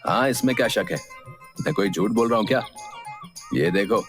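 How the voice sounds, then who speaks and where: A man's voice: lowish voice, moderate pace, lightly acted. Man playing a clever cheeky young villager. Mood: smug. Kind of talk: cartoon voice acting. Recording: music playing behind.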